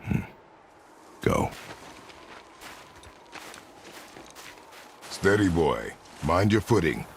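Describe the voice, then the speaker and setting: An actor playing a stern, battle-hardened warrior father in a video game, giving terse commands in a deep, gravelly voice. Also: big pitch swings, slow pace, slight background noise, full character voice. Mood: stern, curt. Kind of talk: dramatic game acting.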